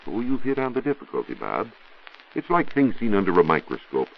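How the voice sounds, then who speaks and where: An American man giving a scripted 1940s radio science talk as a reporter, didactic and rhetorical in measured phrases. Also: on the low side, huge pitch swings, vocal fry, a little hiss or hum. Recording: dull 1940s radio recording.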